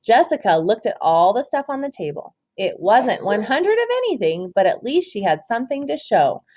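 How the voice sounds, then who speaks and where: Woman, lively kindergarten teacher, swooping intonation, reading a storybook and quizzing pupils on counting. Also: on the high side, normal speed. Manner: prompting, instructive, praising pupils. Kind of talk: picture book read-aloud with counting questions to young children.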